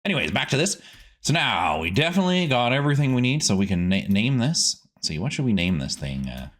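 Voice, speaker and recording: A man giving casual gameplay commentary as a streaming host, chatty and animated, rambling as he goes. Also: medium pitch, brisk.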